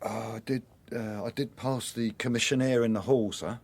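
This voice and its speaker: An actor with an English accent playing a nervous household chauffeur in a radio drama, answering hesitantly and deferentially. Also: male voice, mid-range voice, steady tone, moderate pace, a little mellow, close to an everyday voice. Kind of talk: British radio drama acting.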